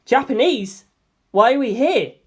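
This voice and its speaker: A woman's voice: fairly high pitch, animated, unhurried, breathy edge, pitched above the performer's own voice. A woman voicing a bewildered, plain-spoken young male hero in a storybook reading, reacting in a casual, puzzled manner.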